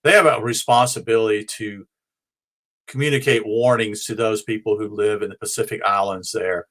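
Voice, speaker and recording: A man presenting in an informal, unscripted explanatory way, rambling a little and often pausing to search for his words. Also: mid-range voice, a bit bright. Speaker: presenter of a ham and shortwave radio show.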